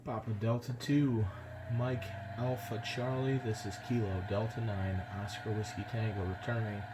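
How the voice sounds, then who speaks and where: A man's voice: fairly low pitch, little pitch movement, normal speed, very noisy. An American man chatting casually as an amateur radio operator, speaking politely and a little hesitantly. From United States. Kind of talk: casual ham radio contact.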